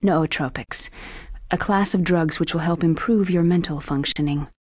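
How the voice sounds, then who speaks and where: No-nonsense research doctor for a game cutscene: lowish female voice, precise and detached, dry close recording. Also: moderate pace, a little mellow, dry recording. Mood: cool, informative. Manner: crisp, matter-of-fact, clinical. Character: cool, brisk female military scientist. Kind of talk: video game cutscene acting.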